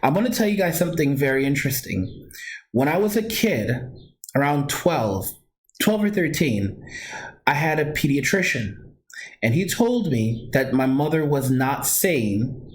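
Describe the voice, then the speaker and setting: A man with a somewhat high voice tells a personal story directly to his viewers. He is earnest and emphatic, circling back to drive points home, with many restarts and self-corrections. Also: very animated, a little slow, low-quality recording. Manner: earnest, emphatic, repeats himself, talks straight to viewers. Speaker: vlogger.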